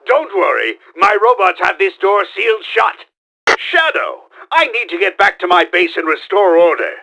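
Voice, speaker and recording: A man playing a pompous, scheming middle-aged mad-scientist villain in a video game, with a gravelly, forceful, theatrical delivery. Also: high voice, big pitch swings, normal speed, heavily acted. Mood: bossy, scheming. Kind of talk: exaggerated video game voice acting.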